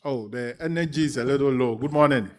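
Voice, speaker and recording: A man's voice: mid-range voice, brisk. A man speaking live from a stage as a guest executive addressing an audience.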